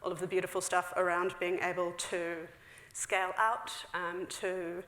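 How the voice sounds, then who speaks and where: A woman's voice: fairly low pitch, completely flat delivery, a bit bright. A woman in her thirties giving a casual, filler-heavy technical talk on a theater stage. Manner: chatty, frequent fillers, quick asides with laughs. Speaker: conference speaker. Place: large dark theater stage. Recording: echoey hall.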